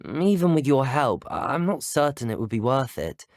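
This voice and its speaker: An actor playing a polite, earnest teenage student wizard in a video game, with a British accent and courteous, articulate speech. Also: male voice, medium pitch, big pitch swings, moderate pace, no echo, studio quality. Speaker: video game player character. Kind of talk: scripted game dialogue.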